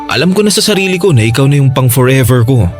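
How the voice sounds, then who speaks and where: A man playing a devoted, reassuring young husband in a Tagalog radio drama, speaking gently and earnestly. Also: mid-range voice, expressive, quick pace, over music, lightly acted. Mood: loving, earnest.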